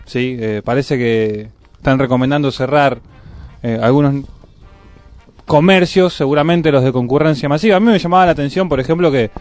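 A man's voice: mid-range voice, normal speed, a little mellow. An Argentine man hosting a radio show with unscripted commentary on the news, in a studio with music behind. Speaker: radio host. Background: faint music.

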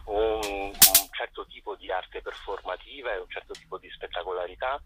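A man's voice: low voice, normal speed, heavy background noise. A man, a festival director, talking on a radio call-in and hesitating with many fillers. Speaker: festival director, phone guest. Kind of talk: radio phone interview. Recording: muffled phone line.

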